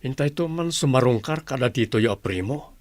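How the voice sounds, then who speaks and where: Caring father played by a man, very lively intonation. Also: medium pitch, fairly quick, no echo, lightly acted. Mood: caring, advising. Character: caring, hardworking father, gently advising his grown children. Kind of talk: family audio drama dialogue.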